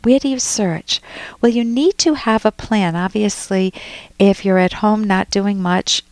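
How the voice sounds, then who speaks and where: Calm woman host giving advice. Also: mid-range voice, dry recording, low-quality recording. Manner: warm, unhurried, reassuring, with frequent restarts. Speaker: radio advice show host. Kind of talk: reading a listener's letter and giving advice.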